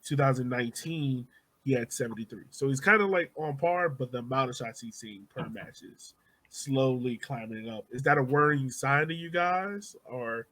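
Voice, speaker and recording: A man's voice: mid-range voice, moderate pace, pushed and bright, low-quality recording. A man hosting a casual sports podcast, talking conversationally and putting questions to his co-hosts. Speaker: podcast host.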